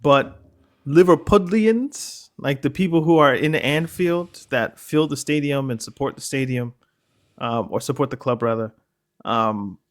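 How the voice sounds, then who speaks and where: A man co-hosting a football podcast, talking in a measured, hedging way and thinking aloud with fillers and self-corrections. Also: mid-range voice. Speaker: podcast co-host. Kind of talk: casual football discussion.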